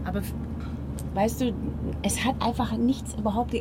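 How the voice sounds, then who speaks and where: An actress playing a middle-aged unfaithful wife in an improvised German radio comedy drama, pleading and insisting with repeated, emphatic phrases. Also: female voice, fairly high pitch, fairly even intonation, moderate pace, background noise, lightly acted. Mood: apologetic, pleading. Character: pleading, exasperated unfaithful wife on a car ride. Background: music in a car.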